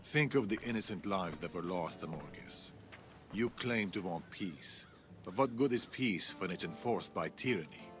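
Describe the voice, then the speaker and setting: A deep, solemn old Persian warrior. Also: male voice, lively intonation, unhurried, a bit bright, faint music behind. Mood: grave reproach. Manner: solemn, earnest. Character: old Persian warrior and grandfather, grave, reproachful, principled. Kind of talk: video game cutscene acting.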